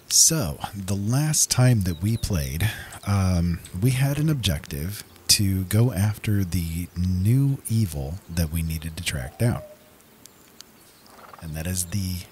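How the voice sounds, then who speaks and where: Very deep-voiced man narrating gameplay. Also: a little mellow, slight background noise. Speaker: gaming channel host. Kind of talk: casual let's-play commentary.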